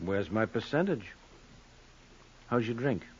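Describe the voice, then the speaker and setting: An actor playing a brusque, self-assured, cagey gambling boss with clipped, sardonic lines in an old-time radio crime drama. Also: male voice, fairly low pitch, lively intonation, takes it slowly, slightly rough, highs cut off, full character voice.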